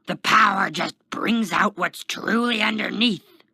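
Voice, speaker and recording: A man reading a story, voicing an arrogant, defensive imprisoned supervillain in a gravelly, strained, pompous voice. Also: high-pitched, measured pace, no echo, pitched above the performer's own voice, heavily acted. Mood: angry. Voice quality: gravelly, strained fake-tough voice. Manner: pompous, shouting, emphatic.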